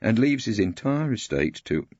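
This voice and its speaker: A man as a lugubrious, husky old solicitor. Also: fairly low pitch, very animated, a little mellow, dry recording, lo-fi sound, voice pushed up. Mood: solemn. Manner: lugubrious, measured, formal and eloquent. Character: lugubrious, formal solicitor, polite older gentleman.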